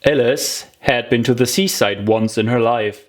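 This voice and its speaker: An audiobook narrator reading a children's story in an expressive, deliberate storytelling manner. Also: medium pitch.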